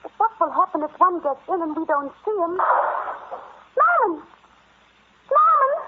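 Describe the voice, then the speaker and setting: An actress in an old-time radio drama playing a serious, frightened explorer's wife, speaking in an earnest, pleading, dramatic way. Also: female voice, extremely high pitch, big pitch swings, measured pace, a little hiss or hum, exaggerated voice. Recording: tinny, phone-like vintage radio recording.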